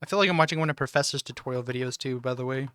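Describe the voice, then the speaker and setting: A man's voice: mid-range voice, racing, bright, forceful tone, clear, resonant tone, no room sound, clean studio sound. A man hosting a reaction video, chatting casually and commenting on what he watches.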